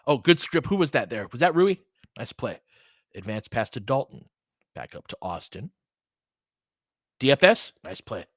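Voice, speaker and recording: A man's voice: mid-range voice, big pitch swings, normal speed, pauses often, a bit bright, no echo. Man doing dry, close-miked basketball commentary. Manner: conversational, explanatory, long-winded. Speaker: basketball play-by-play host and analyst. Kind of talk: live sports commentary mixed with casual analysis.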